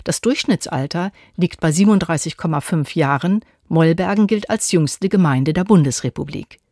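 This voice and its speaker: A woman reporter narrating a scripted radio feature in a measured, even reading. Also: lowish voice, no echo, studio quality.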